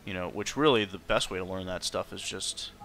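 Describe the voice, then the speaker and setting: Bright-voiced opinionated man chatting. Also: low-pitched, brisk, vocal fry. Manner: opinionated, emphatic. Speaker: streamer chatting during a game playthrough. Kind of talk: casual chat.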